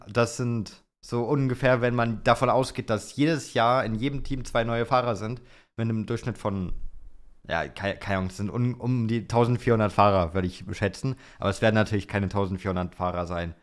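A man's voice: medium pitch, steady tone, normal speed. A young man co-hosting a podcast, chatting informally with youthful slang. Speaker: podcast co-host. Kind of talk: casual podcast chat.

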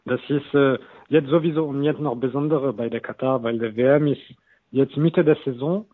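A man in his thirties, a non-native German speaker, talking as a football coach guest with halting, hesitant phrasing. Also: mid-range voice, no room sound. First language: not German. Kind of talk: casual interview talk. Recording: tinny phone-like line, very dry.

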